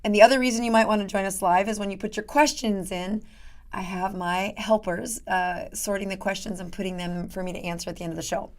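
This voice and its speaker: A middle-aged woman doctor with a raspy voice that is going hoarse, chatting casually as host of her live stream with many fillers. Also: mid-range voice, fairly even intonation, fairly quick. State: losing her voice. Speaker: doctor hosting a live show.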